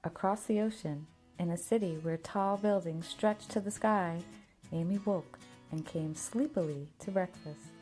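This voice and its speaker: A woman's voice: on the low side, unhurried, darkish tone, faint music behind. A woman narrating a children's picture book expressively, drawing out key words for emphasis. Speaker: storybook reader. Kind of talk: scripted children's story narration.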